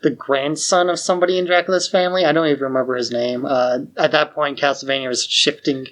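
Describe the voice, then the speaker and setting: A man's voice: a little high, steady tone, quick pace, a bit bright. A man co-hosting a podcast in casual, conversational discussion. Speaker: podcast co-host.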